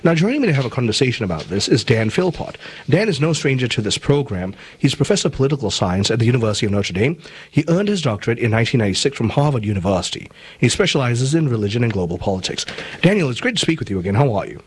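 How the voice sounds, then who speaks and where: A man from Malaysia hosts a talk show, his voice a little husky. He speaks in a measured, deliberate way, sometimes restarting his sentences. His pitch sits in a middle range. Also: big pitch swings. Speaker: host. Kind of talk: commentary and interview.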